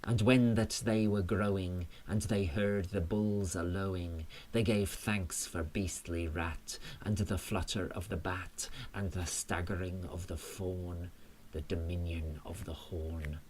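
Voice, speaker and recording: A man reciting rhymed verse in a measured, solemn manner, as a poet reading his own work. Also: low-pitched, fairly even intonation, a little mellow. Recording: faint ambient noise.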